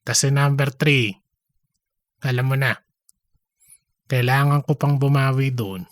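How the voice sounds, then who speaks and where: A woman's voice: extremely low pitch, steady tone, unhurried, no room sound, voice pushed down. A woman voicing a careless, sweet-talking male office womanizer in a comedic audiobook. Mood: sheepish, evasive. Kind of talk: comedic audiobook character acting.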